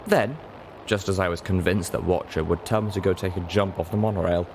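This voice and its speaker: A man reading a story aloud in expressive scripted narration. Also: sits low, a little fast, background noise. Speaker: audiobook narrator.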